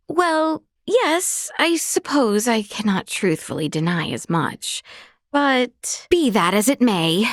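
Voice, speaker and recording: Measured, courtly young princess knight. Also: female voice, fairly high pitch, dry recording, clean studio sound. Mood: concerned. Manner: formal, courtly, measured diction. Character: gracious, earnest young princess knight in a fantasy game. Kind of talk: video game character dialogue.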